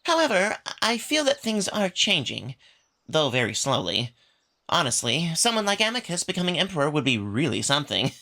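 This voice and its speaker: Man voicing a lively, coy cat man. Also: a little high, normal speed, voice pushed up, exaggerated voice. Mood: guarded. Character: reserved male cat, coy, sly, politely courtly.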